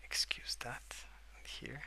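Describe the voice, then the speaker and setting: A young adult man softly rambling in a breathy half-whisper for ASMR, relaxed and unhurried, close to the microphone. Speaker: ASMR video creator. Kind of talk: soft-spoken ASMR rambling while colouring.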